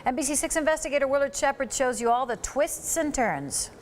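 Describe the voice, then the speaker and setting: A woman's voice: high voice, animated, fairly quick, a little hiss or hum. An American woman reading a scripted news introduction as an anchor.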